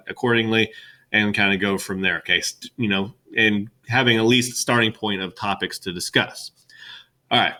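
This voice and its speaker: A middle-aged male teacher with a loud, boisterous classroom voice, talking casually and rambling with fillers, recorded on a webcam in an empty classroom. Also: fairly low pitch. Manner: rambling, chatty with many fillers. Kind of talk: casual classroom welcome talk.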